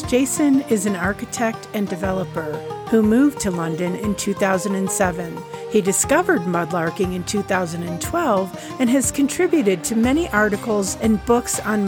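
A woman hosting an interview, excitable and sometimes stumbling over her words. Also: medium pitch. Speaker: podcast host.

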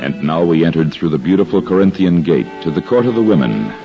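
A very deep-voiced, devout husband looks back on sacred days in a solemn, measured, formal manner. The sound is a dull 1950s radio drama recording with prominent music behind him. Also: male voice, expressive. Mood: reflective, solemn.

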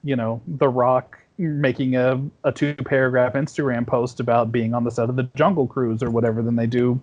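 A man in his forties chats as a podcast guest, circling his points with false starts and "you know"s. He has a middle pitch, and his audio sounds dull and lo-fi, like a remote call. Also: level tone, fairly quick, a little mellow. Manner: rambling, many restarts and fillers. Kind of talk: casual conversation. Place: home at night.

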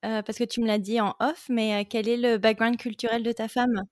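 A woman hosting a podcast interview, playful and quick to laugh as she asks her guest questions. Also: a little high, flat delivery, a little mellow, no room sound, clean studio sound. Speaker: podcast host. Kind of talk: casual interview chat.

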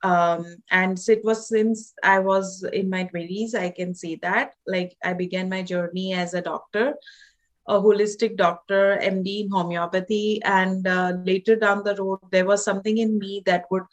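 A woman's voice: mid-range voice, fairly even intonation, moderate pace. Woman, Indian accent, thirties, author, hesitant, fillers, podcast guest. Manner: hesitant, many fillers and restarts. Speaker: author and holistic doctor.

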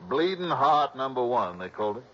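An actor playing an easygoing police captain in a 1960s radio drama, talking in an unhurried, folksy, musing way. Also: male voice, mid-range voice, sing-song, fairly bright tone. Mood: musing, puzzled. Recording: dull, noisy old radio recording.

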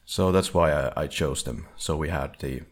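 A man co-hosting a casual analytical discussion, speaking in a halting, hedging way. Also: very deep voice. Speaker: podcast co-host.

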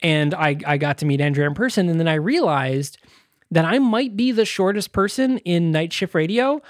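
Male podcast co-host, slightly high voice, dry close sound, halting and self-interrupting, casual and sweary. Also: lively intonation, no room sound. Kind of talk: casual chat.

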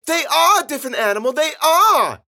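A man's voice: very high-pitched, very animated, fairly quick, bright, forceful tone, pitched above the performer's own voice, full character voice. A man voicing creepy, gleeful little girls with an insistent, babbling, squealing delivery in a narrated story. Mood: indignant. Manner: babbling, giggly, repetitive and insistent.

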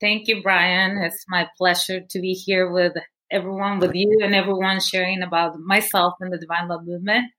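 Candid woman from Guatemala, low voice. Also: little pitch movement, normal speed, pushed and bright, low-quality recording. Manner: candid, with frequent fillers. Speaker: guest, movement founder. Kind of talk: personal story interview.

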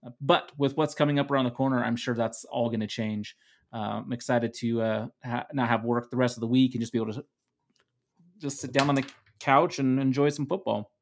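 A man's voice: medium pitch, fairly even intonation, a little fast. Man, co-host, casual podcast chat, easygoing, laughs often. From Netherlands. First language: Dutch.

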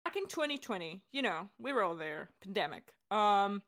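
A young woman hosting a casual podcast chat, talking in a bubbly, excitable and informal way. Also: medium pitch, moderate pace. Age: young adult. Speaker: director and voice actor hosting a Q&A.

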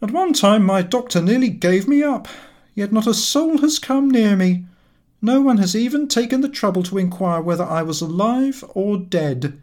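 A man voicing an aggrieved, self-pitying invalid gentleman in a comic audiobook, complaining in a plaintive, touchy way. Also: high voice, lively intonation, moderate pace, voice pushed up. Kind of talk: audiobook character voice, comic Victorian novel.

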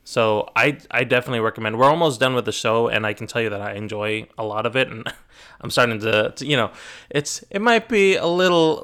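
A man's voice: a little high, pushed and bright. A man in his thirties hosting a podcast, rambling and correcting himself as he chats casually. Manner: rambling, self-correcting, chatty. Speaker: podcast host. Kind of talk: casual chat.

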